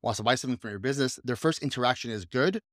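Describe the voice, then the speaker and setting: Male podcast host, mid-pitched, eager and rambling, dry close mic. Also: very fast, fairly bright tone, dry recording. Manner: enthusiastic, rambling with restarts. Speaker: podcast host, coach and business owner. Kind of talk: casual podcast chat.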